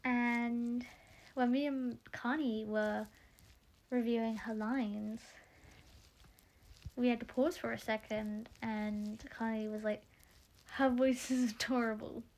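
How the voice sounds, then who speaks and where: A young woman introducing the cast of her audio drama in casual chat, rambling and a little croaky from being tired. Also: a little high, steady tone, takes it slowly, pauses often, darkish tone, low-quality recording. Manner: chatty, rambling, self-interrupting.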